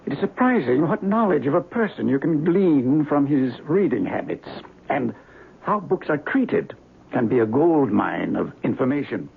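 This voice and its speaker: An actor playing a pedantic, erudite parapsychology doctor with ponderous, theatrical diction in a 1970s radio drama. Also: male voice, medium pitch, big pitch swings, normal speed, vocal fry. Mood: measured, cryptic. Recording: muffled, hissy 1970s radio broadcast.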